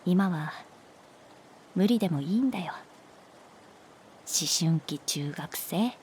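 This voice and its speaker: A woman playing a caring, composed female homeroom teacher in a Japanese voice drama, speaking in a calm, measured and gentle way. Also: lowish voice, a little hiss or hum. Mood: reassuring.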